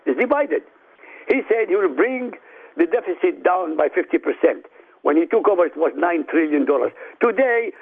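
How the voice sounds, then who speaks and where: A man from Iraq, a native Arabic speaker, argues forcefully over a phone line, as if making a speech to the listeners. His voice is high, gravelly and husky, and it comes through thin and tinny. He hammers out short declarations one after another. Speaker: radio guest. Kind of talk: emphatic argument on a radio talk show.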